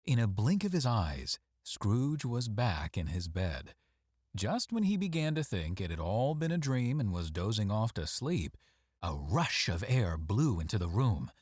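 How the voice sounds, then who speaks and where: A man narrates a story in a low, husky voice. His intonation swings widely, with dramatic and measured phrasing. The sound is dry and close, with no room around it. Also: sing-song, a little mellow, no room sound. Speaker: story reader.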